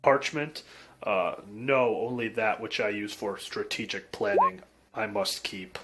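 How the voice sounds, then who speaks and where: A man voicing a stern, guarded fantasy war leader with a deliberate, commanding manner. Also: lowish voice, fairly bright tone, slight background noise. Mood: guarded, refusing.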